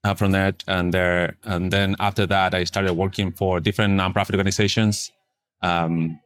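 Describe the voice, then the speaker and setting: A man's voice: low voice, flat delivery, normal speed, a bit bright. A man from Chile with a Chilean Spanish accent, Spanish his first language, answering interview questions as a nonprofit fundraiser, hesitant with many fillers. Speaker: pro-life nonprofit fundraiser.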